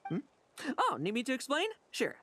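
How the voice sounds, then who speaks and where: A wry young knight in a fantasy game. His pitch leaps around freely, giving him a breezy, sardonic, playful air. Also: huge pitch swings, moderate pace, a bit bright, slight background noise, exaggerated voice. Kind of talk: video game voice acting.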